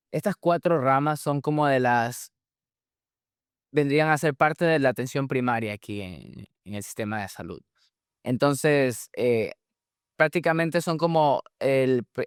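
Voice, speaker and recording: A man, a medical resident whose first language is Spanish, answering interview questions in a relaxed explanatory way with many restarts and fillers. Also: medium pitch, clean tone, dry recording, studio quality. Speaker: guest, surgery resident in the US.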